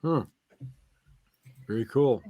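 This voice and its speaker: A man's voice: medium pitch, slow, no room sound, dull audio. A man chatting casually as one of the panelists on a podcast. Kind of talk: casual chat.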